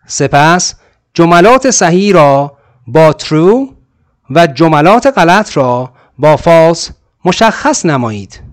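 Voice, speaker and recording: A man's voice: a little high, big pitch swings, a little fast. A man reading scripted listening-test instructions in a formal, announcing tone. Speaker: exam narrator.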